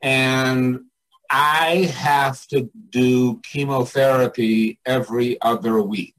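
An older man, a coach and mentor, talking casually and reflectively in a candid way with pauses. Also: mid-range voice, fairly even intonation, a bit bright, rough recording.

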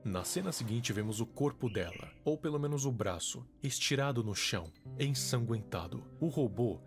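A man's voice: medium pitch, lively intonation, normal speed, music in the background. A man giving a scripted storytelling narration that explains a mystery. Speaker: video narrator.

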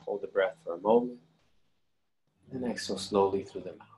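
A man leading a guided meditation, giving calm, unhurried instructions with long pauses between phrases. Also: low voice, rough recording. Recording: clear steady background noise.

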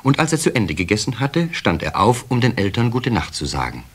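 A man's voice: medium pitch, very animated, fairly quick, a little hiss or hum. A man narrating a scripted children's story in a radio play, as the storyteller. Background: soft guitar music at times.

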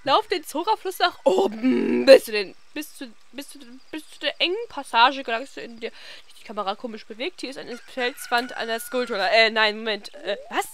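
A young woman giving playful, casual game commentary in a silly, excitable manner. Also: on the high side, normal speed, few pauses. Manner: silly, excitable, breaking into exclamations and singsong. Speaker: let's play host.

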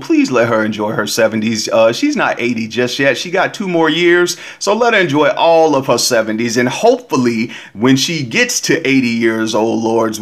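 A man hosting a commentary vlog, talking straight to his listeners in an emphatic, preachy, casual way. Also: mid-range voice.

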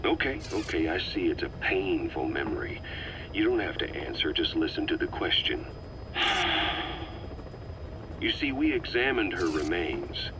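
Sardonic interrogator, deep, widely inflected, hushed and breathy, accusatory, video game drama. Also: male voice, very animated, noisy background, exaggerated voice. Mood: probing. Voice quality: hushed, often dropping to a breathy near-whisper. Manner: deliberate, accusatory, mocking. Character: cold, sardonic, menacing male military interrogator.

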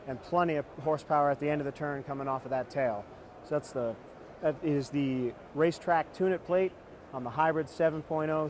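A confident, fluent man in his thirties walks through a product demo at a trade show booth. His voice sits a little high for a man, and there is a light hum of background noise in the hall. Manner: upbeat, confident, fluent sales talk. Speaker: ski company executive presenting products.

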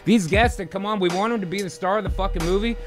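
A man with a slightly high voice co-hosts a casual stream. He is easygoing and swears freely, saying man and dude a lot, with clear music underneath. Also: fast. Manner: easygoing, profane, often says man and dude. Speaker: co-host of improv movie-writing show. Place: home room on a group video call.

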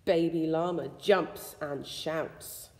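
A woman reads a rhyming children's picture book aloud in an expressive, sing-song storytelling way. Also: sits low, slow. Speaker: school staff member reading a bedtime story. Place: school room with suspended ceiling, indoors. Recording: echoey indoor room with long reverb.

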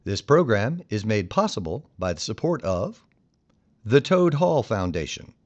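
A man's voice: fairly low pitch, sing-song, unhurried, a little mellow, highs cut off. A man announcer reading a scripted sponsor and legal announcement.

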